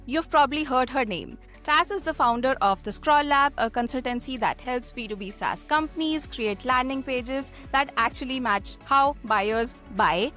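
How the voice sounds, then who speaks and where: A woman's voice: sits high, bright, forceful tone. A woman hosting a podcast, giving prepared introductions and asking interview questions. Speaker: podcast host.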